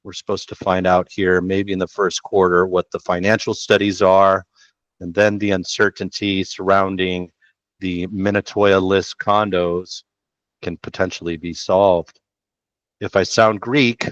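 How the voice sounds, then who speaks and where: A man's voice: deep voice, little pitch movement, a little slow, fairly bright tone, dry recording. Laid-back slangy man on a headset. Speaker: real estate show host. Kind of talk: casual listing commentary. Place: small room at a desk. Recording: dull dry home recording.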